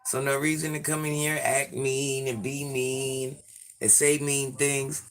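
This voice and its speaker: A man in his forties, hoarse from illness, chatting sassily with viewers on a livestream and reading out their comments. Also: mid-range voice, level tone, a little slow, fairly bright tone. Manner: sassy, chatty, addresses viewers by name. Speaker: livestream host.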